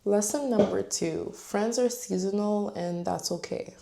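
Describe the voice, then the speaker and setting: A woman's voice: medium pitch, unhurried, creaky, dark tone. A woman in her twenties talking candidly to camera on her own channel, chatty and full of fillers. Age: 20s. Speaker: vlogger. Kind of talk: casual talk to camera.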